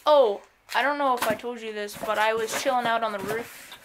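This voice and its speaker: A woman's voice: on the high side, fairly quick, background noise. A young woman vlogger with a lisp, chatting casually and rambling in a small bedroom, filmed on a close camera. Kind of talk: casual chat.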